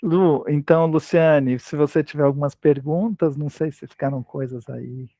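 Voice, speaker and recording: A man's fairly high voice with a gravelly fry runs through his answers, recorded dry and close. He explains teaching approaches conversationally, hesitating and restarting his sentences on a video call. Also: fairly even intonation, normal speed, dark tone, no room sound. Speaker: language teaching lecturer. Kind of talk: academic talk, casual explanation.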